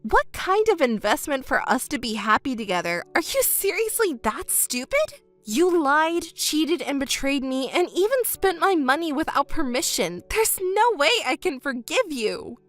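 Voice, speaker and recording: A woman's voice: high voice, big pitch swings, normal speed, faint music behind. A woman voicing a scornful, cutting young woman. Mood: furious. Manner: cutting, scornful, sharp retorts.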